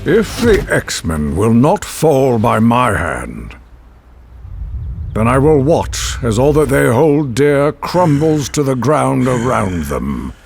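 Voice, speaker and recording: An actor playing an imperious, vengeful older supremacist villain in a video game, with a grandiose, condescending and menacing manner. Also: male voice, medium pitch, big pitch swings, takes it slowly, gravelly, slight background noise, exaggerated voice. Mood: coldly menacing. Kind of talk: dramatic video game cutscene acting.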